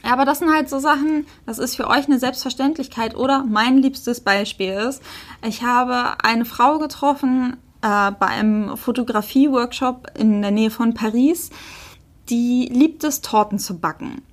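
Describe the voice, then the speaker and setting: A woman with a fairly high voice talks as a podcast co-host. She speaks casually and tells anecdotes in an animated, conversational style. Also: a little slow.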